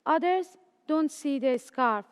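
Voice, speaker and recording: High-voiced young woman in a reverberant hall. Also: expressive. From Afghanistan and Iran. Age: late teens. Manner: slow, careful, earnest reading. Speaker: student. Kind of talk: reading a personal story.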